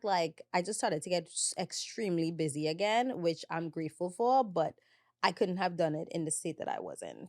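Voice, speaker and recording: A woman's voice: medium pitch, no room sound. Chatty woman podcast host, dry sound. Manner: chatty and animated, laughing often. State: slightly hoarse. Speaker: podcast host, makeup artist. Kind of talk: casual solo podcast chat.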